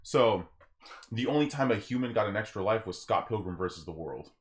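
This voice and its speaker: A man hosting a casual gaming podcast, talking in a rambling, explanatory and animated way. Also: fairly low pitch, fairly quick. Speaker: podcast host.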